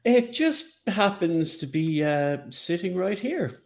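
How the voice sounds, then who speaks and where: A man's voice: high voice, very animated, slow pace. A man hosting a podcast with casual banter and a playful, wry manner. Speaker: podcast host.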